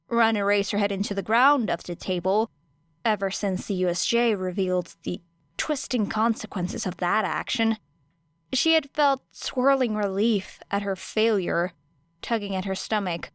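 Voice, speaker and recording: A woman narrating a fan-fiction audiobook in a measured, expressive storytelling voice. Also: mid-range voice, no echo. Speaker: podfic reader.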